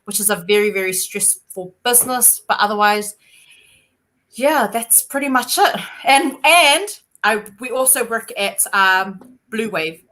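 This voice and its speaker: A woman's voice: a little high, a bit bright. A woman, a podcast guest, talking in a playful, joking way. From New Zealand. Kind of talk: casual podcast chat.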